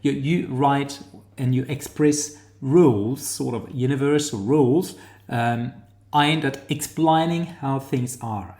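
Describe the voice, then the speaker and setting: Slow, halting New Zealand man lecturing. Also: a little high, roomy sound. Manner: halting, pauses to find words, explanatory. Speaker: academic lecturer. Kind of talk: screen-share tutorial lecture.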